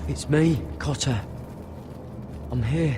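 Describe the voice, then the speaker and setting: An actor playing a loyal young man, earnest and steady in his replies. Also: medium pitch, slow pace, noisy background, natural voice. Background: music.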